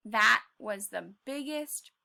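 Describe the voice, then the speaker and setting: A woman reading a story aloud as a teacher, expressive and encouraging, pausing to put questions to the children. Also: on the high side, gravelly. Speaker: second grade teacher.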